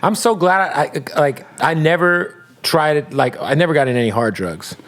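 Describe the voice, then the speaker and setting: A man's voice: on the high side, normal speed, fairly bright tone. Blunt, teasing male podcast host. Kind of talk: casual interview chat.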